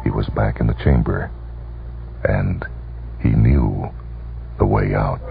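A man narrating a story for radio in a deliberate, dramatic manner with weighty pauses. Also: rumbling low, sing-song, gravelly. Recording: dull lo-fi old radio recording. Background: music.

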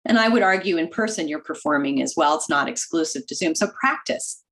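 A woman in her forties, a doctor guest on a video call, explaining practical tips in a clear, instructive way through wired earbuds. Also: low voice, expressive, fairly quick, fairly bright tone. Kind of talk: interview answers, practical tips. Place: home office with bookshelf.

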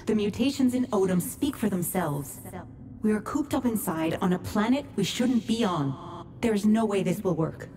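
A woman's voice: medium pitch, expressive, normal speed, dark tone, a little hiss or hum, natural voice. A woman acting a frustrated, pleading colonist who challenges leadership, earnest and argumentative, in a video game cutscene. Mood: urgent, insistent. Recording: distant mic, music behind.

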